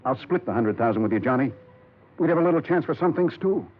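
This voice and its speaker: An actor playing a weary, bitter, crooked investigator in a 1950s radio drama, talking in a slow, heavy, halting way. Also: male voice, medium pitch, lively intonation, close to an everyday voice. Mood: bitter pleading. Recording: dull, noisy 1950s radio recording.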